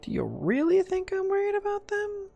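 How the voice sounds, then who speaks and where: Creaky, lively-pitched man gaming. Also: normal speed. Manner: jokey, muttering back at characters. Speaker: gamer, streamer. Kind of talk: casual gameplay commentary. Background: faint game music.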